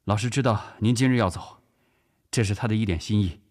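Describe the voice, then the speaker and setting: Man playing a brisk, respectful young officer. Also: on the low side, a little mellow, natural voice.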